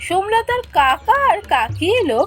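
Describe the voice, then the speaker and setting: A woman tells a cartoon fairy tale in a high, bright voice. Her pitch rises and falls widely as she narrates with lively expression. Soft music plays underneath. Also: moderate pace. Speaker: storyteller voicing all parts.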